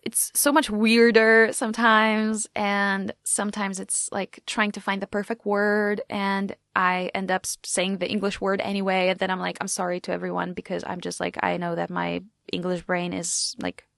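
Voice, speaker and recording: A woman's voice: mid-range voice, little pitch movement, normal speed, gravelly, hardly any pauses, fairly bright tone, no echo, clean studio sound. A young woman, telling her story in a chatty way with lots of "like" and restarts. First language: Polish. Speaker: radio show host. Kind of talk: personal storytelling vlog.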